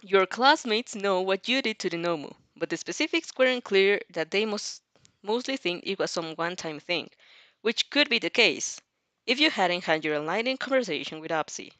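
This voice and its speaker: Female reader-host, mid pitch, casual and giggly, expressive storytelling with rambling self-interruptions, close dry sound. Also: moderate pace, no echo. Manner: rambling, giggly, often interrupts herself with asides. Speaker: host reading and reacting to fan fiction. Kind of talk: casual reaction chat and expressive story reading.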